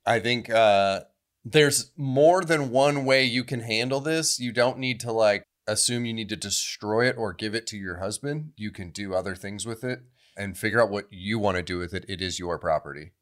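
A man's voice: fairly low pitch, moderate pace, a bit bright. A man co-hosting a podcast in casual, informal chat with slightly hesitant phrasing. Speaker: podcast co-host.

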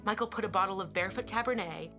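A woman's voice: mid-range voice, racing, pushed and bright. A woman reading scripted story narration for a dramatised audiobook. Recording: clear music bed under narration.

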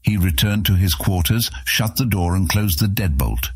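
A man narrating an epic fantasy audiobook in a dramatic, measured, suspenseful storytelling style. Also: low-pitched, expressive, husky, no room sound.

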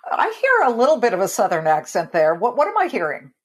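Animated, chatty woman host. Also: medium pitch, fast talker. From California. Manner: chatty, animated, laughs easily. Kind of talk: podcast interview.

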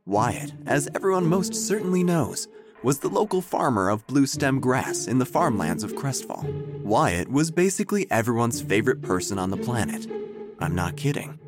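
An actor playing an eccentric small-town obituary writer, narrating an audio drama in a solemn, deadpan, theatrical way. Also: male voice, medium pitch, normal speed, over music, lightly acted.

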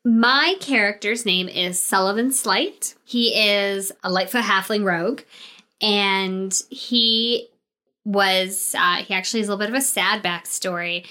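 A woman's voice: medium pitch, measured pace, sharp tone. A woman chatting casually as a player on a tabletop game podcast. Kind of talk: casual chat.

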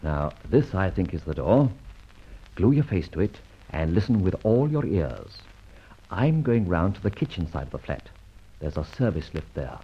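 A suave gentleman rogue in a vintage radio adventure. His voice is lowish and husky with very animated intonation, breezy, cocky and teasing. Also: normal speed, full character voice. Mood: brisk, playful. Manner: breezy, teasing, flourished phrasing. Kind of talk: old radio adventure serial acting. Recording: dull, muffled old radio recording.

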